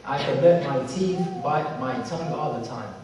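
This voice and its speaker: A man from Somalia giving a religious lecture to an audience, speaking in a measured, repetitive way with slow emphasis and Arabic recitations. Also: fairly high pitch. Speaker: symposium speaker. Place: large hall with audience. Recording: echoey hall from far off.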